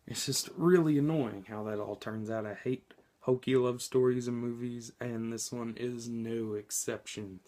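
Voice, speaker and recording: A man talks through a movie review while sick, his voice hoarse and a little scratchy. He keeps it loose and conversational at a middling pitch, often pausing on an um or correcting himself mid-sentence. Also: steady tone, mellow. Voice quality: slightly scratchy. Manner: chatty and informal, frequent ums and self-corrections. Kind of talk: casual spoken movie review.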